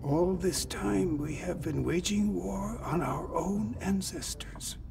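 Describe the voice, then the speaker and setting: A man's voice: high-pitched, slow pace, creaky, far from the mic, music in the background, heavily acted. A man playing a solemn old android leader in a video game, speaking in a grave, cryptic, formal way. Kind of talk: cinematic video game dialogue.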